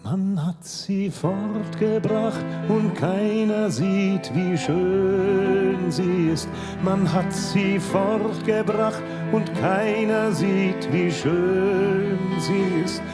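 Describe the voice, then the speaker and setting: A woman's voice: medium pitch, drawn-out words. A woman reciting a poem solemnly, repeating lines. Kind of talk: slow poetry recitation. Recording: recitation over loud music. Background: sad instrumental music.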